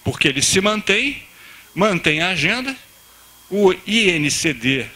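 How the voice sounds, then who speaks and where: A man's voice: high voice, big pitch swings, measured pace, bright, forceful tone. A man speaking on a conference panel, explaining his points in long, stretched-out clauses. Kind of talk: unscripted academic panel talk.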